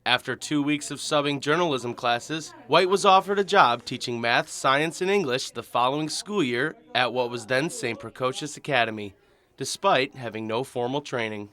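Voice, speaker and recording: A man's voice: mid-range voice, moderate pace, sharp tone. A man with an American accent reading scripted narration as a television news reporter.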